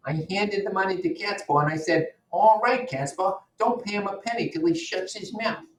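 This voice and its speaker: A man's voice: high voice, normal speed, slight background noise, pitched above the performer's own voice, natural voice. A man voicing a brash older gangster, earnest and boastful, from a novel read aloud. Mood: boastful, insistent. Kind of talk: audiobook-style character reading. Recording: roomy echo, distant microphone.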